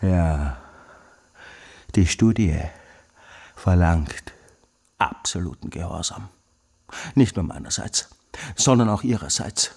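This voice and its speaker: A man's voice: low voice, animated, vocal fry, a little mellow, husky, exaggerated voice. An actor playing an obsessive, tyrannical man fanatically studying hearing in a radio monologue, with intense, emphatic delivery. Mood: controlled, stern. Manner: intense, emphatic, drawn-out delivery of key words. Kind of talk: dramatic literary radio monologue.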